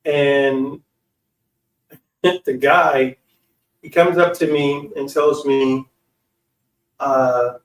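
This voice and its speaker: An American man telling personal stories casually, with an informal, slangy and halting delivery. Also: medium pitch, fairly even intonation. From United States. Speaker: online speaker and channel host. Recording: echoey room, distant from mic.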